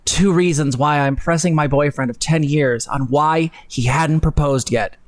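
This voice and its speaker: A man's voice: a little high, moderate pace. A young man hosting a live stream, reading aloud dramatically with mocking, sweary, theatrical commentary. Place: home room, indoors.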